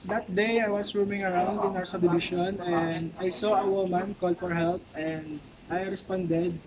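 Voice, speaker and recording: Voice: mid-range voice, flat delivery, moderate pace, a crowd in the background, low-quality recording. A person with a Filipino accent acting in an amateur courtroom dramatization, slipping between scripted lines and casual chat.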